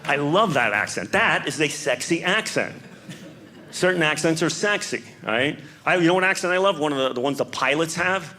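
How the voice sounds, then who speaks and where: A man's voice: a little high, expressive, normal speed, bright, forceful tone, slight background noise. New York man doing stand-up. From New York City. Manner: chatty, conversational setups. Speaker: stand-up comedian. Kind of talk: stand-up comedy.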